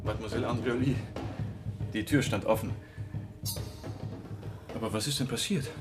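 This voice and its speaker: A man's voice: fairly low pitch, moderate pace, close to an everyday voice. Courteous police inspector played by a man, music. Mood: concerned. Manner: courteous, steadying. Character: polite, concerned male police inspector. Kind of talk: radio play acting.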